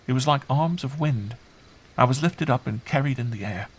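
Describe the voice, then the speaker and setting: A man's voice: mid-range voice, moderate pace, breathy edge, pitched above the performer's own voice, lightly acted. A man voicing a bewildered, earnest young girl in an audiobook, trusting and fragile, speaking simply. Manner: hushed, simple, earnest delivery. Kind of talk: audiobook character voice.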